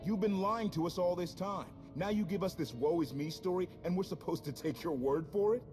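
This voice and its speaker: A man's voice: sits high, fairly quick, darkish tone, close to an everyday voice. A man playing a distrustful, hot-headed loyalist in a video game, speaking in a challenging, sardonic way. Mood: accusing. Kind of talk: video game dialogue acting. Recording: music under the dialogue.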